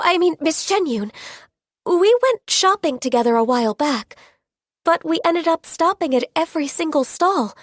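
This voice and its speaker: An actress playing a gentle, modest young woman secretary in a video game, soft-spoken, polite and hesitant, easily flustered. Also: high-pitched, dry recording, clean studio sound. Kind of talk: scripted video game character voice lines.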